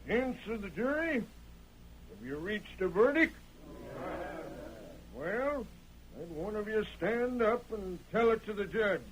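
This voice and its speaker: A man's voice: high voice, animated, slow pace, pauses often, highs cut off. An actor playing a shifty, rustic Old West lawman with folksy talk in an old-time radio western. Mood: brisk, official. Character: shifty, drawling crooked Old West sheriff and cronies. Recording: noisy old radio recording.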